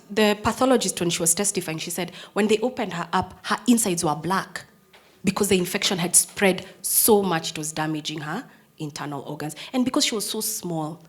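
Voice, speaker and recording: A woman in her thirties with a Kenyan English accent, a prosecutor giving a personal storytelling talk to an audience. She has a strong, firm voice and shifts between hushed, near-whispered lines and loud, emphatic ones. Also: on the low side.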